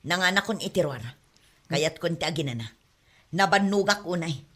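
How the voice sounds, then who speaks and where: Woman acting a husky, weary Ilocano mother. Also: on the low side, moderate pace, fairly bright tone. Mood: exasperated, weary. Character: weary, scolding Ilocano mother hiding a secret. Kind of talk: audio drama acting.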